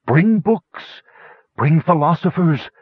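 A man voicing a dying brass dragon in a storybook, gentle and resigned, with weary, halting phrases. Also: on the high side, lively intonation, husky, no room sound, narrow, tinny audio, voice pushed up, exaggerated voice. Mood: failing farewell.

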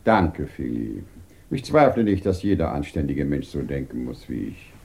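An actor playing an earnest, principled professor in a 1950s German radio drama, speaking formally and gravely. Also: male voice, very deep voice, big pitch swings, a little mellow, exaggerated voice. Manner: formal, measured protest. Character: earnest, dignified professor, morally troubled and principled.

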